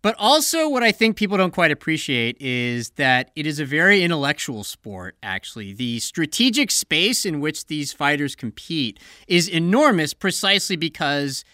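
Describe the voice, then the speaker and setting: Lively, bright-voiced man in a dry studio. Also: fairly high pitch, moderate pace, hardly any pauses, dry recording. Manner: enthusiastic, lively. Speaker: podcast host. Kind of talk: casual chat.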